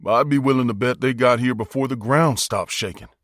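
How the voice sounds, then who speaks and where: A man voicing a calm, plain-spoken rural American man in an audiobook, with an unhurried folksy drawl. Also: medium pitch, no room sound, clean studio sound, lightly acted. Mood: wry, folksy.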